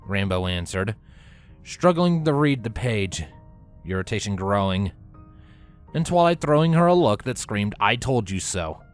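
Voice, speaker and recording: Man narrating a story, lively intonation. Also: on the low side, unhurried, pauses often, smooth, faint music behind.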